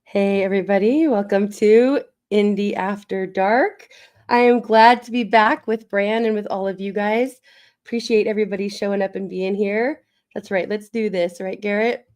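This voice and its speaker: A woman hosting a livestream in casual chat, her voice raspy and a little wheezy as she recovers from illness. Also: medium pitch, steady tone, normal speed, a little mellow. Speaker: livestream host.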